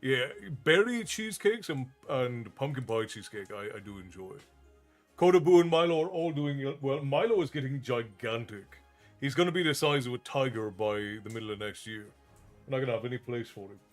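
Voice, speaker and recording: A man's voice: mid-range voice, animated, fairly quick, faint music behind. A man in his thirties from Ireland with an Irish accent mixed with American, chatting casually with viewers as a streamer and thanking them often.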